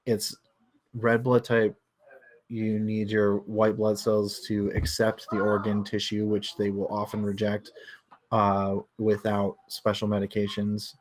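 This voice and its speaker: A man's voice: fairly low pitch, completely flat delivery, unhurried. A man in a casual livestream book discussion, explaining things analytically and feeling his way through his thoughts.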